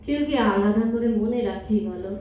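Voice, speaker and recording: A woman's voice: medium pitch, moderate pace, natural voice. A woman playing a sentimental old wife in an audio drama, speaking in a dreamy, poetic way. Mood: nostalgic, tender. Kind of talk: Bengali stage audio drama. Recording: muffled, echoey hall from far off, noisy.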